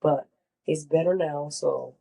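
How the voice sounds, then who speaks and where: A congested middle-aged woman with a gravelly, mellow voice. She talks candidly in a casual interview and repeats words for emphasis. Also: low-pitched, fairly even intonation, takes it slowly. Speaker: interviewee sharing her chemo experience.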